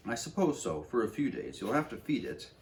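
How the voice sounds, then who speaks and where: Man reading as a practical farm mother, pitched up. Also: sing-song, brisk, darkish tone, far from the mic, low-quality recording. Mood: reluctant, practical. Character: farm mother, practical, mildly reluctant woman. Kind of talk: storybook read-aloud dialogue. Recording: background noise.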